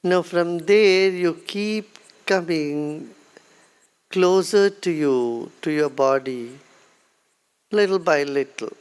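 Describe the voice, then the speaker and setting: Very slow, calm Indian meditation teacher. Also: mid-range voice, clean tone. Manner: calm, unhurried, gently instructing with long pauses. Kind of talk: guided meditation instruction.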